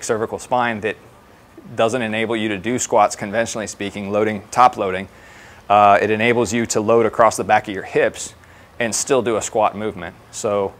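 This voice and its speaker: A man in his thirties talking casually and explaining things as a trainer showing colleagues around a facility. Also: on the low side. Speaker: fire department fitness trainer giving a facility tour. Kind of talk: informal walk-through presentation to colleagues. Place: indoor gym with hard floors. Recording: clear background noise of a gym.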